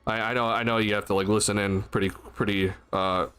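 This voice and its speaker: A man's voice: lowish voice, level tone, a little fast, a bit bright, smooth, soft background music. A tired, slightly stuffy young man hosting a casual postgame livestream, rambling with lots of fillers and restarts into a desk mic. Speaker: sports livestream host. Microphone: desk mic at a streaming setup.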